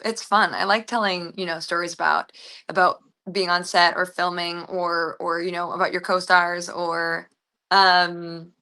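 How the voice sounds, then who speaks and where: Chatty woman doing a casual interview, upbeat, bright voice, slightly low. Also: creaky. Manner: chatty, enthusiastic. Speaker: actress being interviewed.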